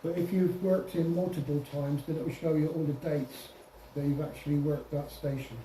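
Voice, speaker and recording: Muffled, mellow man explaining in echoey room. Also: fairly high pitch, steady tone, moderate pace. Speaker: presenter at an amateur radio club. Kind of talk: informal software demonstration to a group. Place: indoor club meeting room. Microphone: distant room microphone. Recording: echoey room, distant mic, faint noise.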